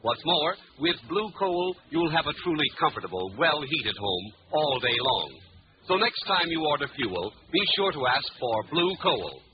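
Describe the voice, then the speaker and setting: A man's voice: on the high side, sing-song, normal speed, noisy background. A man announcing in scripted 1940s radio style, formal and polished like a salesman. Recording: muffled old radio, music behind.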